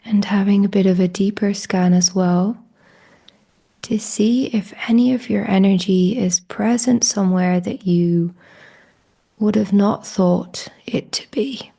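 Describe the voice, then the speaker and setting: A woman leading a guided meditation in a hushed, breathy voice that often drops close to a whisper, with slow, gentle invitations. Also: medium pitch, steady tone.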